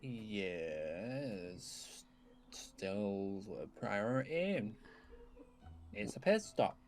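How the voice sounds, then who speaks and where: A man playing an evasive, dry-humoured survivor in a zombie game roleplay, speaking in a halting, understated way. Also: on the low side, animated, darkish tone, soft background music. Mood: dry, guarded. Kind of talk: improvised roleplay dialogue.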